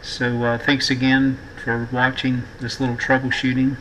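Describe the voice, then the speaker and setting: A middle-aged man giving a casual electronics tutorial in a halting, thinking-aloud way, as the host of a repair video. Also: mid-range voice, monotone, fairly bright tone, poor audio. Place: indoor workbench. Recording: clear background noise.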